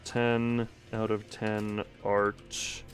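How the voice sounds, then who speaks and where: A man's voice: fairly low pitch, little pitch movement, extremely slow. Wry male game streamer over music. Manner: wry, sarcastic, playful exclamations. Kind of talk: live gameplay commentary, reading dialogue aloud.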